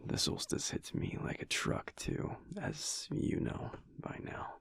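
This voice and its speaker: A man playing a tired, affectionate werewolf husband in an intimate audio roleplay, murmuring softly and haltingly in a breathy, husky near-whisper. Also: close to an everyday voice. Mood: wry, tired. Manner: halting, soft, murmured with hums.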